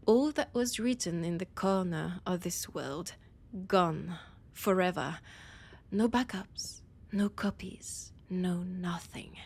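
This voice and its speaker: A woman playing a haughty, annoyed vampire scholar with a French accent, speaking in a theatrical monologue with dramatic pauses. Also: mid-range voice, creaky, slightly rough, exaggerated voice. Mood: grave, mournful. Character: annoyed, haughty female vampire scholar guiding a library guest. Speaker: audio roleplay performer. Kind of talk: slow theatrical in-character monologue.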